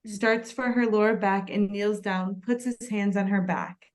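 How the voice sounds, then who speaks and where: A woman narrates the action lines of a screenplay at a table read. Her pitch is medium, and her delivery is plain scripted narration. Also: fairly even intonation, measured pace. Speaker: stage directions reader.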